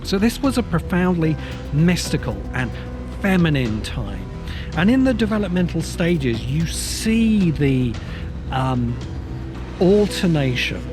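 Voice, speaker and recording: A man hosting a talk on ideas, speaking in an essay-like, explanatory style with measured, deliberate phrasing in short bursts. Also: a little high, very animated, over music. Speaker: host of a science fiction ideas show.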